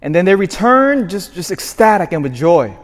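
A man in his forties gives a sermon as parish priest, his voice pitched high and moving freely. He leans hard on some lines and drops quietly on others. A long reverb from the church trails every phrase. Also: normal speed. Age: 40s. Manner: animated, swings from shouted emphasis to quiet asides.

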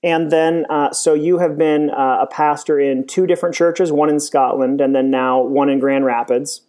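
A man's voice: fairly high pitch, fairly even intonation, normal speed, a little mellow. A man hosting a podcast interview, asking casual questions in a friendly way, with fillers and short affirmations. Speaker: podcast host. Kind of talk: interview host, scripted intro then casual questions.

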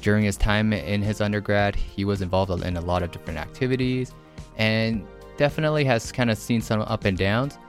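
A man with a very low voice hosts the event and introduces the guest in an upbeat tone. Faint music plays in the background. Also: brisk. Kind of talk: scripted introduction.

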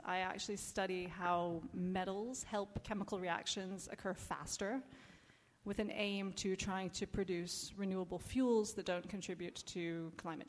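A quiet-voiced woman from the southern United States introducing herself on a panel, softly and with small laughs. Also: medium pitch. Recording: reverberant hall with faint noise.

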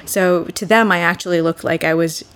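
A young woman from Boston talks through her views as a guest on a podcast. Her voice sits a bit low and carries a gravelly fry. She speaks in a thoughtful, earnest way. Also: fairly even intonation, normal speed, fairly bright tone. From Boston, USA. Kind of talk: casual podcast conversation.

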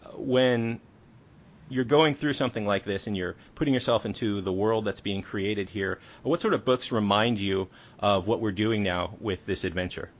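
A man's voice: on the low side, moderate pace, muffled recording. A man working as a podcast interviewer, asking questions in a conversational style.

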